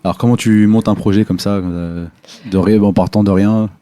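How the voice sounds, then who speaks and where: Low, mellow man hosting quickly. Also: fairly even intonation. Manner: joking, informal questioning. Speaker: interview host. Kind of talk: casual interview chat.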